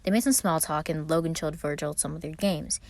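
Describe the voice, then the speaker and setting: A woman's voice: low voice, fairly quick, a little mellow. A woman reading a scripted story aloud as its narrator. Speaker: fan fiction reader.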